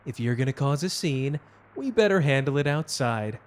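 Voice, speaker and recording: Man playing theatrical detective rival, flirtatious, flippant, very lively swooping pitch, middling register, audio drama. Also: moderate pace, close to an everyday voice. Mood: teasing, smug. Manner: flippant, melodramatic, smooth-talking.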